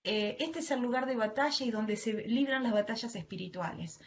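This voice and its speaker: High-voiced Argentine woman preaching, muffled audio. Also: normal speed. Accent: Argentine Spanish. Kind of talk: devotional sermon to an online audience. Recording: dull lo-fi online audio, faint noise.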